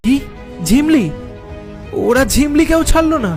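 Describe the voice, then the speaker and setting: A man's voice: very high-pitched, expressive, unhurried, over loud music. A man playing a frightened nephew, narrating a cartoon ghost tale in an anxious, suspenseful, dramatic way. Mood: shocked.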